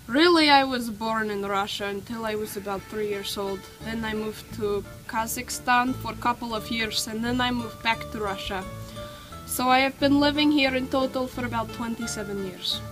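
A teenage girl acting a calmly sinister female mafia boss in a mock documentary interview, matter-of-fact and full of hesitant ums, recorded outdoors on a snowy deck with music behind. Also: on the high side, close to an everyday voice. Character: deadpan female mafia killer, cheerfully sinister.

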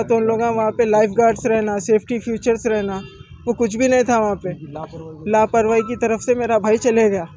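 A young man talks to the press on an outdoor street into a bunch of news microphones. His voice sits high and stays flat, with a soft, mellow tone. He speaks haltingly, repeats himself, and slips English words into his speech. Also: slight background noise. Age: young adult. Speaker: bereaved brother giving a statement. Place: outdoors on a street before a crowd. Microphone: cluster of press microphones.

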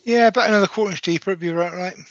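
A man's voice: high voice, very fast, no echo, lo-fi sound. A man chatting and joking casually as a guest on a live stream. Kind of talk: casual banter.

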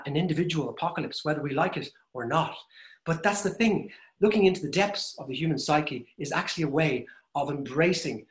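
A man hosting an online literary event on a video call, introducing readings in a thoughtful, discursive way with fillers. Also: on the high side. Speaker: host and director. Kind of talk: reflective lecture-style introduction. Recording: roomy echo on a video call.

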